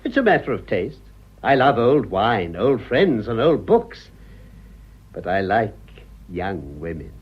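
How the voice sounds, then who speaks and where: An actor playing an urbane, cynical older British gentleman in a radio drama, with dry, suave irony. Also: lowish voice, big pitch swings, slow, husky, heavily acted. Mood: amused. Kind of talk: radio drama acting of a stage comedy. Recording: dull lo-fi radio drama recording.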